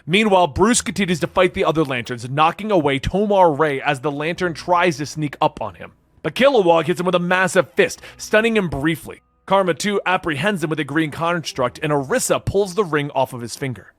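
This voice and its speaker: A man's voice: a little high, lively intonation, fairly quick, few pauses, dry recording. A man narrating a comic-book story as a dramatic scripted read.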